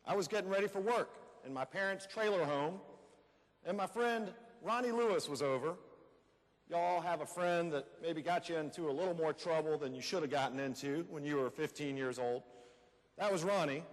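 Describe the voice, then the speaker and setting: A man's voice: sits high, natural voice. A man playing a folksy fellow who recounts his teenage troubles in a conversational readers theater monologue, putting questions to his listeners. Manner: conversational, addresses audience with rhetorical questions. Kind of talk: first-person storytelling monologue in a readers theater. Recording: echoey hall with long reverb.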